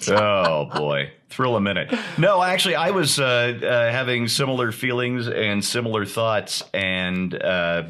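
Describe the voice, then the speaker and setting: Wry low-voiced middle-aged man. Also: expressive, measured pace, few pauses, bright, forceful tone. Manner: wry, dry asides. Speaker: co-host. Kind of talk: casual video call chat. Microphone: video call.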